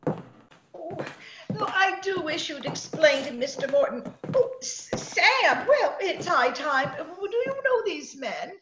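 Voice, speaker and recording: Casting: sweet, bewildered elderly lady, piping high and fluttery, roomy video-call sound, vintage radio drama. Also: sing-song, slow pace, a bit bright, heavily acted. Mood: flustered. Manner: plaintive, fluttery, polite. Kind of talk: 1940s radio drama acting. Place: echoey room at home, video call.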